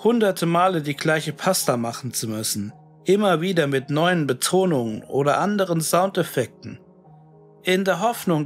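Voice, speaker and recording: Slow, brooding male horror narrator. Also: a little high. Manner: deliberate, brooding, dramatic pauses. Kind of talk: scripted first-person creepypasta narration, musing monologue. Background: music.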